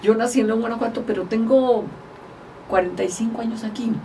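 A woman's voice: on the high side, a little fast, mellow, recorded from a distance, slight background noise, low-quality recording. A woman from Mexico, a guest politician, chatting casually and animatedly in an interview, often laughing as she tells stories. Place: small studio, indoors. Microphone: table mic, far from speaker.

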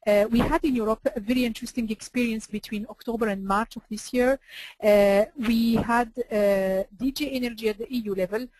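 Woman presenter, halting delivery full of fillers, dry recording. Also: on the high side, steady tone, a little mellow, no room sound, low-quality recording. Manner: hesitant, many "uh" fillers. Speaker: webinar presenter. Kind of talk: presenting slides in a webinar.

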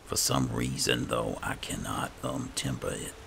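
A man with an exceptionally low voice narrates his game in a casual, musing way. His pitch moves around a great deal and is thick with creak, as he thinks out loud. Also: huge pitch swings, measured pace, slight background noise. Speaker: gaming video host. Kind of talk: casual roleplay game commentary.

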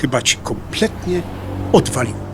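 An actor playing a middle-aged motorcyclist, an anxious, joking atheist who talks in a blunt, colloquial way in an audio drama. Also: male voice, medium pitch, big pitch swings, normal speed, background noise, lightly acted. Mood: blunt, irritated. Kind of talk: dialogue acting in an audio drama.

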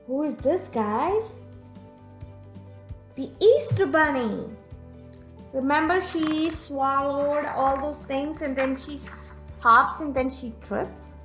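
High-voiced young woman reading to children. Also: slow pace, pauses often. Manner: expressive, teacherly, addressing children directly. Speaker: children's storyteller. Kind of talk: read-aloud for young children, with questions to the listeners. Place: room at home, indoors. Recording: echoey room, music in the background.

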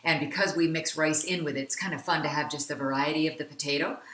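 Bright-voiced woman cooking at home. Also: low voice, fairly even intonation, quick pace. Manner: chatty, laughs often, gestures while talking. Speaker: cooking show co-host. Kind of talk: casual cooking demonstration. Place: home kitchen, indoors. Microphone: camera mic across the kitchen. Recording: echoey kitchen.

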